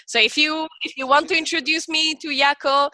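A young woman talking about founding her training school, chatty and animated, full of "you know" and "like". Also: high-pitched, normal speed, no room sound. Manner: animated, rambling, many "you know" and "like" fillers. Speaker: founder of a sales training school, podcast guest. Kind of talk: casual interview chat.

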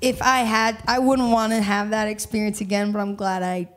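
A young woman singer telling her story candidly in a casual interview, animated and quick to laugh. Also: mid-range voice, soft background music. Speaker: singer-songwriter guest.

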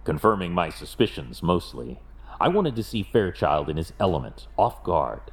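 A man playing a brooding, wealthy vigilante detective in an audio drama, grave and deliberate in his delivery. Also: deep voice, a little mellow. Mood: resolute.

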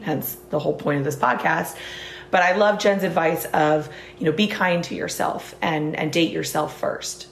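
A woman hosting a podcast, a little hoarse, chatting casually with lots of "like" and restarts. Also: low voice, roomy sound, a little hiss or hum. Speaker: podcast host. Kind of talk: casual interview chat and solo outro.

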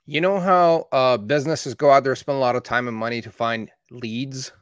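Agency owner type, man in his 30s, plain steady delivery, dry close mic. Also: medium pitch, normal speed, no room sound. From born in Iran, raised in Canada. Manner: steady, matter-of-fact storytelling. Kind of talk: casual conversation.

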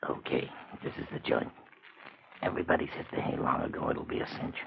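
A man's voice: very deep voice, animated, a little fast, vocal fry, full character voice. A man in a 1940s radio drama playing a pushy, streetwise small-time stick-up crook who talks in tough slang and clipped commands. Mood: hushed, urging. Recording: muffled, noisy 1940s radio recording.

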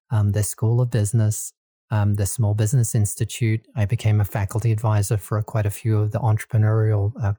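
Man, Australian accent, 50s, very dark mellow tone, low voice, dry close sound, unhurried interview talk. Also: dry recording. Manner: relaxed and unhurried, with frequent ums and restarts. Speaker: guest, small business lender.

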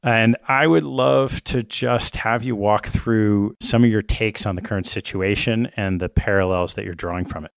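A man with a fairly low voice hosts an interview podcast. He puts questions conversationally, and the sound is very dry, with no room around it. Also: moderate pace, creaky, few pauses, no room sound.

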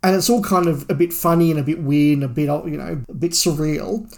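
A man's voice: on the high side, brisk, darkish tone. A man co-hosting a podcast, casually discussing and reviewing a book, feeling his way through his phrasing with repeated words. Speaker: podcast co-host.

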